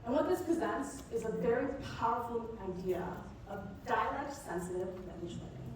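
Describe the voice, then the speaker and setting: Female founder for a rehearsed demo pitch. Slightly high pitch, distant mic in a very echoey hall. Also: lively intonation, normal speed. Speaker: startup co-founder presenting. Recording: echoey hall from far off, noisy.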